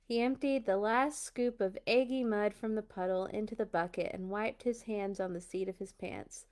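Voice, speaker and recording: A woman reading a children's storybook aloud, dramatic and expressive, with little personal asides. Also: medium pitch, moderate pace. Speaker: storytime reader.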